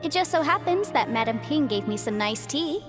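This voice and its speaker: A woman's voice: high voice, lively intonation, normal speed, music in the background. A woman playing a polite, articulate young female legal advisor in an English video game dub, cheerfully businesslike. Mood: coaxing. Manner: articulate, explanatory.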